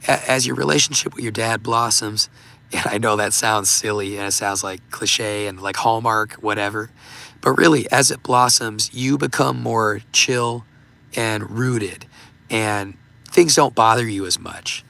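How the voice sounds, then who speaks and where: A man hosting a livestream, talking casually and haltingly with many fillers, his voice going hoarse and giving out. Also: lowish voice, fairly even intonation, sharp tone. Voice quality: gravelly, voice giving out. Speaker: livestream host. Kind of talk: casual livestream talk reading super chats.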